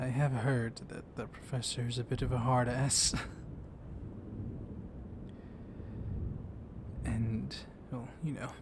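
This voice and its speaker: A man playing a gently dominant, comforting vampire librarian in an intimate ASMR roleplay, speaking softly and haltingly, often slipping into a whisper. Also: mid-range voice, flat delivery, close to an everyday voice. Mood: teasing, confiding. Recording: clear storm noise behind the voice. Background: thunderstorm ambience.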